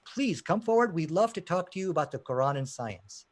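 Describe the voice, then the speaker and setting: A man's voice: on the high side, animated, fairly quick. A man from Pakistan arguing emphatically in a live online debate. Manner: emphatic, combative, fond of "you know".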